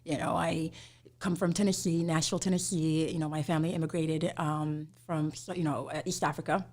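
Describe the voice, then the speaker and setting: A woman's voice: sits low, flat delivery, fairly quick, darkish tone. A woman from Somalia, a guest on an interview podcast, talking casually with many "you know" fillers and easy laughter. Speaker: guest, immigration attorney.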